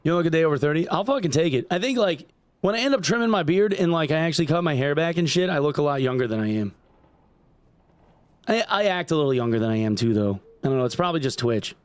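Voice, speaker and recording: A man in his forties chats with his livestream audience while gaming, rambling and swearing freely with plenty of "like" and "dude". His voice sits a little high for a man. He sounds close and dry, with almost no room around him. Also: animated, quick pace, no echo. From upstate New York. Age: 40s. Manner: loose, profane, rambling, heavy on "like" and "dude". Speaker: gaming streamer.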